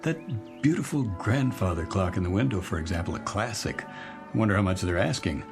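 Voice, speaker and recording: An actor playing a gentle, wistful male newcomer in a radio drama, speaking with hesitant wonder. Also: sits low, lively intonation, normal speed, gravelly. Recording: music behind the voice.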